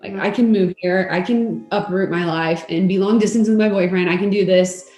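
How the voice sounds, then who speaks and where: A woman's voice: mid-range voice, steady tone, normal speed, darkish tone, echoey room, faint music behind. A young woman from Texas, a law student guest, chatting frankly with hesitant fillers. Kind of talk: casual interview chat.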